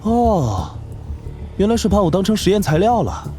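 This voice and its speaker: Brash young mage hero, very high-pitched, cheeky, urgent, lively intonation, animation. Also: male voice, moderate pace, music in the background. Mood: defiant. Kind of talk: animated audio drama acting.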